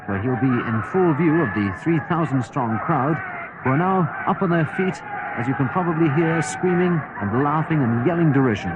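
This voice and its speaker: An actor playing an observant radio reporter giving a dramatized live on-the-spot commentary. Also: male voice, on the high side, heavy background noise, lightly acted. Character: on-the-spot male radio reporter, hushed commentary turning to panic. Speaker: roving reporter.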